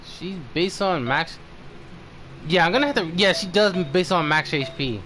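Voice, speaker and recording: Male game streamer, notably high voice, halting and conversational, slight noise behind him. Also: animated, bright, forceful tone. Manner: halting, thinking aloud. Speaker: game livestream host. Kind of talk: casual livestream chat.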